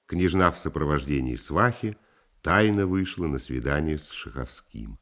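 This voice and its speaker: A man's voice: a bass voice, expressive, normal speed, fairly bright tone. A man narrating the scenes of a radio play in a scripted, stage-setting style.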